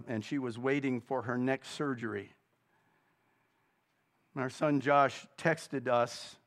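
A middle-aged man preaching a sermon, slow and deliberate, building to loud, fervent peaks before dropping back down. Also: medium pitch. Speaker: pastor.

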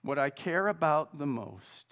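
A middle-aged man preaching a sermon, slow and deliberate, building to loud, fervent peaks before dropping back down. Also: a little high, expressive. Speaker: pastor.

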